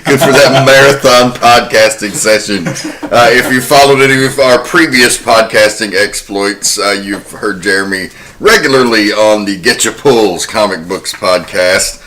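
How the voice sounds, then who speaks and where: A man's voice: fairly low pitch, measured pace, sharp tone. A man hosting a horror podcast, introducing and narrating film history in a conversational way. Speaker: podcast host.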